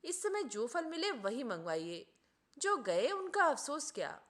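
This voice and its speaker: A woman voicing an easygoing gentleman guest in a storybook, polite and reassuring. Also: high-pitched, sing-song, a little slow, exaggerated voice. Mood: consoling.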